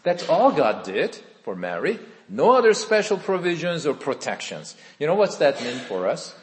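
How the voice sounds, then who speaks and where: Pastor in his 50s, lively up and down preaching voice, big echoey church hall. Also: fairly high pitch, normal speed, a little hiss or hum. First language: Korean. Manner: earnest, conversational, asks the congregation questions. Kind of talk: sermon with personal testimony.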